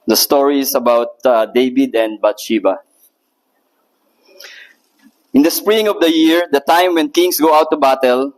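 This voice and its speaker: A man's voice: medium pitch, low-quality recording. Nervous Filipino-British man preaching. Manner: nervous, hesitant, many filler ums. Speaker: guest preacher. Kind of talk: sermon with scripture reading.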